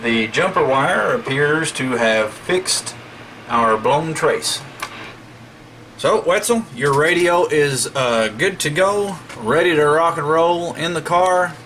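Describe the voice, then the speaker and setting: Man, bright forceful voice, casual repair demonstration, folksy step-by-step explaining, faint background noise. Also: fairly high pitch, slow. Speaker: hobbyist radio repairer making a demonstration video. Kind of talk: casual hands-on repair walkthrough.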